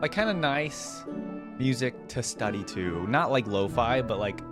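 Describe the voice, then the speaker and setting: A man's voice: medium pitch, lively intonation, unhurried. A man giving casual, informal reaction commentary, musing aloud as he goes. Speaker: reaction video host. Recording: music bed behind the voice. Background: game music.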